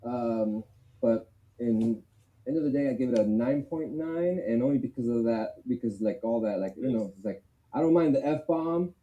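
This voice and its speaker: A man's voice: mid-range voice, normal speed, dull audio. A man eagerly reviewing comics in a casual chat, rambling with lots of filler words. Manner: excited, rambling with many fillers. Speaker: guest reviewer.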